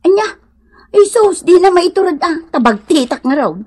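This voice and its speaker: A woman acting a simple-minded, excitable married mother in an Ilocano comedy radio drama, with an Ilocano accent and drawling, melodramatic delivery. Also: very high voice, moderate pace. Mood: coy, slow.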